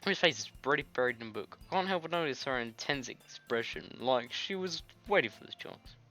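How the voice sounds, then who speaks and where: A man's voice: medium pitch, expressive, brisk, pushed and bright. A man playing a game on a recording, reading its dialogue aloud and adding crude, jokey, informal asides. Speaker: gamer doing a let's play. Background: game music.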